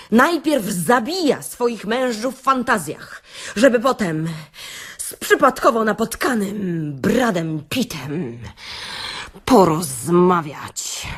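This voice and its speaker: A woman playing a chatty, wry workshop speaker in a comic audio-play monologue, theatrical and confiding, with hesitant pauses and rhetorical questions. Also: medium pitch.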